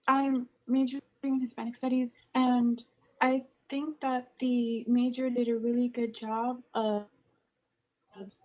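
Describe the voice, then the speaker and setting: A woman's voice: a little high, warm tone, rough recording. A young woman in her early twenties, a student guest answering interview questions casually, with frequent ums and restarts. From United States. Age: young adult. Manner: hesitant, many ums and restarts.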